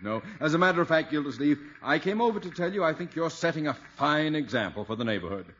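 An actor playing an influential, effusively gracious gentleman neighbor in a 1950s radio comedy, speaking formally. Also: on the high side, lively intonation, quick pace. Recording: dull old radio recording.

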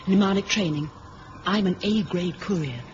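Actress voicing female courier, matter-of-fact, efficient, clipped, radio drama, dull recording, clear hiss. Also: lowish voice, moderate pace, darkish tone, natural voice. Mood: cool, matter-of-fact. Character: cool, efficient young female courier with trained memory. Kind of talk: 1970s radio drama acting. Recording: muffled, noisy old radio recording.

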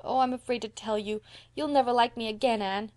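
Lady doing a little boy for an audiobook, high voice, blunt n earnest, mischievous, kiddy bad grammar. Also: steady tone, a little fast, darkish tone, lightly acted. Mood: miserable, pleading. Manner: childish ungrammatical speech, emphatic. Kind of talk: audiobook character voice.

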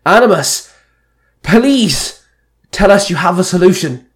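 A man's voice: fairly high pitch, expressive, moderate pace, a bit bright, voice pushed up. A man voicing a blunt, impatient male warrior in a story reading, barking insistently. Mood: urgent, demanding. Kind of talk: amateur fan-fiction story reading.

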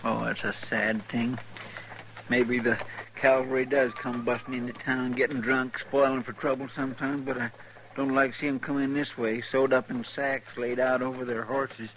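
Folksy, soft-hearted sidekick played by a man, rural Western drawl, gravelly, lamenting, on a faintly noisy old radio western. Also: mid-range voice, little pitch movement, normal speed, natural voice. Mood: mournful. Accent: rural American West. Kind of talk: 1950s radio western acting.

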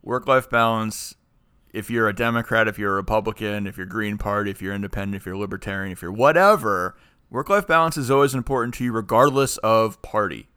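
A man co-hosting a podcast in casual chat, animated and ranting as he makes his point. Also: lowish voice, fairly quick, clear, resonant tone, no room sound, studio quality. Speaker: podcast co-host.

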